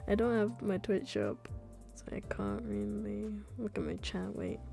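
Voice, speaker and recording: Gravelly young woman streamer, rambling. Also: mid-range voice, lively intonation, a little slow, dark tone, faint music behind. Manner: rambling self-talk, trailing off mid-thought. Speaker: game streamer. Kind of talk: casual live-stream chat.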